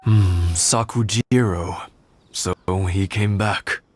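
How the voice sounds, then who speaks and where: An actor playing a gentle elderly husband and father reminiscing in an anime video game. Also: low-pitched, takes it slowly, slightly rough, exaggerated voice. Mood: regretful. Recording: faint music under the voice.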